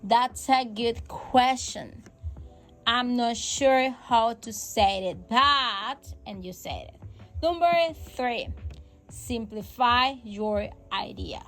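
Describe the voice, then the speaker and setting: A woman's voice: on the high side, expressive, slow. A woman whose first language is Spanish, hosting a casual English-learning podcast. She is encouraging, repeats key phrases and makes small grammar slips. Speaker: English teacher and podcast host. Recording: faint music in the background.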